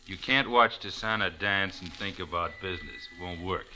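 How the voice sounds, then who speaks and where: A low, brash hustler. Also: male voice, moderate pace, bright, forceful tone, low-quality recording. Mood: chummy, wheedling. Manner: slangy, wheedling tough-guy talk. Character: seedy, pushy ex-wrestler hustler, big and chummy. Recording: old 1940s radio recording.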